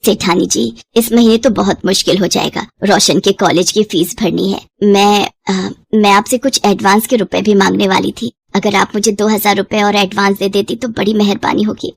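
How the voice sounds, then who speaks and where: A woman's voice: mid-range voice, steady tone, moderate pace, gravelly, few pauses, slightly rough, voice pushed down. Woman acting humble, devoted poor village mother.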